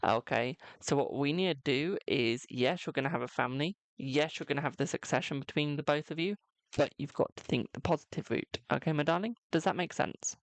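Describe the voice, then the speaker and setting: A young man with a naturally high voice hosts a live tarot reading, chatty and cheeky, calling each viewer "my darling". His tone carries a gravelly fry at the ends of phrases. The sound is dry and close, with no room echo. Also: fairly quick. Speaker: tarot reader on a livestream.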